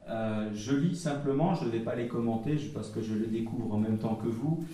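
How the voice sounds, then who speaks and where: Mellow-voiced man giving a halting summary to a church gathering, recorded far off in a very echoey hall. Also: medium pitch. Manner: halting, with frequent pauses and restarts. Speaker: speaker summarizing group feedback at a church gathering. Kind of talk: public talk, reading out notes.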